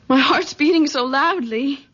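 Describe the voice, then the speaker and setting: A woman's voice: sits high, fairly bright tone. An actress playing an anxious, emotional engaged young woman in a 1970s radio mystery drama, hesitant and stammering. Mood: nervous, touched. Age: young adult. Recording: muffled old radio broadcast recording.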